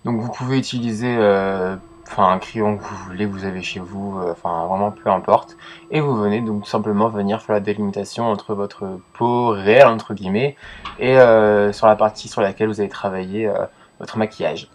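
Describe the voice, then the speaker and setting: Young man with a cold, low voice. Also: steady tone, moderate pace, slight background noise. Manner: hesitant, many fillers. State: congested with a cold. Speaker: makeup vlogger. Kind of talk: casual makeup tutorial.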